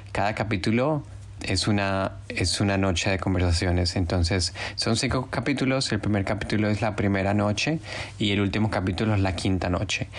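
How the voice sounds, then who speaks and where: Man, podcast host, native Spanish speaker, lower pitch, earnest, encouraging monologue.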